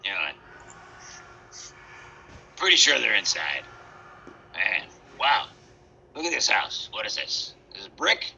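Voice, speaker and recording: Bright, rambling hungry wolf. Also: male voice, lowish voice, slow pace, vocal fry, long pauses, muffled recording, a little hiss or hum, exaggerated voice. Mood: impressed, muttering. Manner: hesitant, rambling, deadpan comic asides. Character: hungry, hapless, chatty male Big Bad Wolf. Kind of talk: improvised comic children's storytelling.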